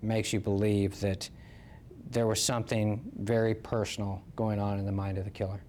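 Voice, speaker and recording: A man's voice: fairly low pitch, flat delivery, moderate pace, a little mellow, slight background noise. A man with an American accent, a police lieutenant explaining a case in an interview.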